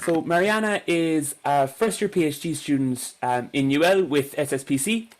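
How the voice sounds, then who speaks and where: Man, event host, introducing a guest, somewhat high voice, video call mic. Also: normal speed. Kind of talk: introducing a speaker. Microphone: computer mic on video call.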